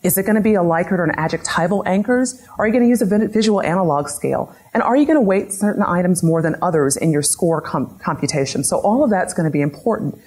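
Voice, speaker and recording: A woman's voice: on the low side, expressive, fast talker, few pauses, darkish tone, slightly rough. A woman giving an academic lecture, methodically posing a string of questions to her audience. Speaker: lecturer on patient-reported outcomes. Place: lecture room.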